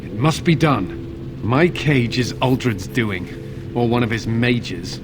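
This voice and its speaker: A stern knight, speaks at a middling pitch in a grave, resolute tone. His lines are formal fantasy game dialogue with clear music underneath. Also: expressive, slow. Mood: grim resolve. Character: stern, dutiful captive male knight.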